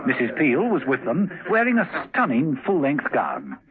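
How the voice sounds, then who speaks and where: A man's voice: fairly high pitch, sing-song, normal speed, gravelly, crowd noise. A man narrating a spy thriller dramatically in scripted radio drama, on a thin, phone-like old recording. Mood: dramatic, suspenseful.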